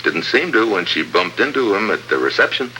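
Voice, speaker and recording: A hard-boiled American secret agent in a 1950s radio adventure. His voice sits very low with a hard, biting edge. He talks in wry, clipped wisecracks, over faint noise on an old recording. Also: big pitch swings, a little fast, pushed and bright, heavily acted, poor audio. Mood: brisk, confident.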